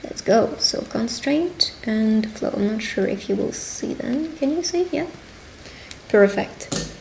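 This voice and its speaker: A woman's voice: a little high, expressive, gravelly, darkish tone, slight background noise, rough recording. Woman giving an encouraging fortune reading. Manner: encouraging, conversational, pauses to interpret. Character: friendly female witch giving a love reading. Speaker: tarot reader and roleplay video host. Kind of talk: intimate roleplay fortune-telling addressed to the listener.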